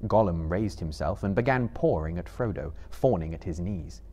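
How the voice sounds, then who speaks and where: A man in his thirties giving a measured, theatrical literary narration as a live dramatic reader. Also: low-pitched, animated, dark tone. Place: seated indoors on a book-lined stage before a live audience.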